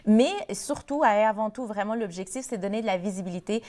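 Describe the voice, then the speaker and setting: A young woman from Morocco, guest on a community TV interview, talks at a middle pitch. She pauses often on fillers and restarts her sentences as she explains her work. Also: warm tone. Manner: hesitant, many fillers and restarts. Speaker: guest, board treasurer of a community association. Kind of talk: TV interview, casual conversation.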